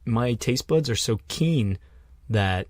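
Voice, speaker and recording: A man's voice: mid-range voice, lively intonation, slow, darkish tone, no echo. A weary man tells long, joking stories as co-host of a chatty podcast. His voice sits in a middling register, recorded close and dry with no room around it. He wanders from one tangent to the next. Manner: rambling, jokey storytelling. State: tired. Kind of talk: casual banter. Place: small home room.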